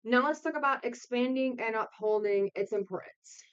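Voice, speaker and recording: A woman's voice: on the high side, normal speed, clear, resonant tone, lo-fi sound. A woman from Puerto Rico giving an informal online lecture in a conversational way, often checking in with her listeners. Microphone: video call.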